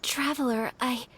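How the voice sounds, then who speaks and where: Refined young noble lady, game character, soft delicate high voice, polite, earnest, shy. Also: a little hiss or hum. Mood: shy, nervous. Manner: polite, measured, slightly hesitant. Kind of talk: video game character acting.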